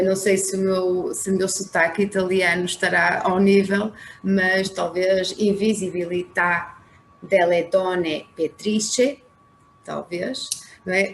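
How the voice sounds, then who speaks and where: A woman's voice: on the low side, fairly even intonation, unhurried, rough recording. A woman with a European Portuguese accent introducing a speaker on a video call, with frequent fillers. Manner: hesitant, many fillers. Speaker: panel moderator. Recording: faint background noise.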